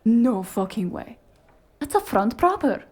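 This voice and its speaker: Actress for cyberpunk noir audio drama fixer: sharp woman, higher register, scolding, emphatic, streetwise. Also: sing-song, a little slow, husky, exaggerated voice. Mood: incredulous, exasperated.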